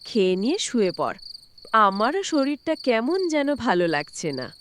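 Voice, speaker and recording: A woman voicing a caring but scolding middle-aged ghost aunt in an animated horror story. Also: fairly high pitch, unhurried, a little hiss or hum. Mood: gentle, fussing.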